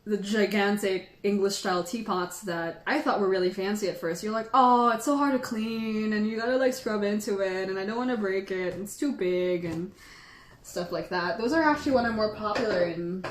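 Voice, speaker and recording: Woman tea host from the Philippines, chatty and giggly, roomy echo around her, everyday pitch. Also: moderate pace. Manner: chatty and playful, laughs often. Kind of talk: casual livestream chat answering viewers. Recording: echoey room.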